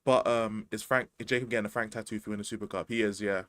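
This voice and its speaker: A man's voice: on the low side, rapid-fire, dry recording. Slangy young man, dry close mic. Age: 20s. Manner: slangy, rambling and animated. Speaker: football podcast host. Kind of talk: casual football chat.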